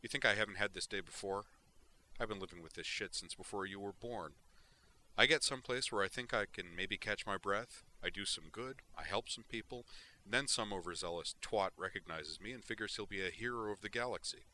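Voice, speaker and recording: A man's voice: on the low side, fairly quick, bright, forceful tone, rough recording. A man doing a weary, sardonic fugitive. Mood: bitter. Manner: dry, sardonic. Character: weary wry male fugitive, sardonic and tired.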